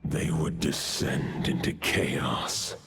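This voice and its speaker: A man voicing a solemn ancient wolf spirit king in a video game, speaking in a stately, formal, gravelly way. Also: extremely low pitch, steady tone, slow pace, heavily acted. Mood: solemn, measured. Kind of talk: scripted video game voice acting. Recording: music behind the voice.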